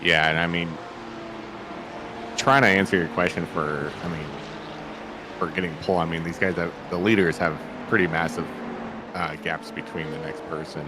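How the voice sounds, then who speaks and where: A man's voice: low-pitched, steady tone, normal speed, pauses often, noisy background. A man co-commentating on a sim race in a casual, tentative way, thinking aloud as he goes.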